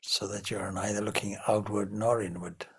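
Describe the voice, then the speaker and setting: A man leading a guided meditation as a teacher, giving gentle, measured instructions with long pauses between phrases. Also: lowish voice, fairly even intonation, gravelly, husky.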